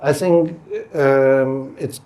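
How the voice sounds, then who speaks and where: Give me a middle-aged man, speaking slowly and hesitantly in a warm, dark voice. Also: medium pitch, fairly even intonation. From Denmark. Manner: halting, searching for words with many fillers. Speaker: artist in a panel conversation. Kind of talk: casual conversation.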